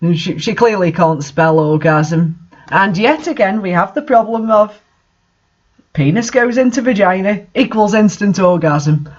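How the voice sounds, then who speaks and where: A man's voice: sits high, unhurried, highs cut off. A man doing a mocking read-aloud of bad fan fiction, with incredulous, sarcastic asides in casual commentary.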